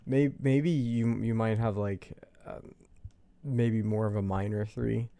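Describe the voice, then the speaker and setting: A man's voice: lowish voice, steady tone, slow, vocal fry, dark tone, clean tone, no echo. A man hosting a casual typing session, tentative and hesitant as he thinks aloud. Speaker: host of a personality typing session. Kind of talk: casual chat.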